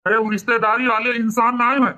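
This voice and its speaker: A man's voice: extremely high pitch, flat delivery, brisk, sharp tone, dull audio, heavily acted. A man voicing emphatic, argumentative village family men with an Awadhi accent in a cartoon. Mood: resentful. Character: village family men, stern old patriarch and grumbling son.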